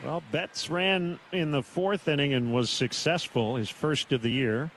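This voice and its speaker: A man doing live baseball play-by-play on the radio in measured, terse calls, with pauses between them. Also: a little high, fairly bright tone, a little hiss or hum, rough recording. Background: outdoor ballpark ambience.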